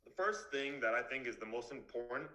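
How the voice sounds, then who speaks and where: A young man in his twenties, a dentist from Miami, talks to students over a computer mic on a video call. His voice is bright and forceful. He is blunt and straightforward, with plenty of casual fillers. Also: mid-range voice, fairly quick, rough recording. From Miami, Florida. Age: 20s. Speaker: dentist giving a talk. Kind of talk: online presentation to students.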